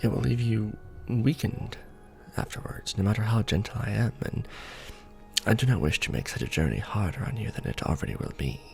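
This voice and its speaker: A man playing a courtly, doting vampire lord in an ASMR romance roleplay, speaking formally and deliberately with tender pauses. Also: lowish voice, big pitch swings, vocal fry, soft background music. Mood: tender, concerned.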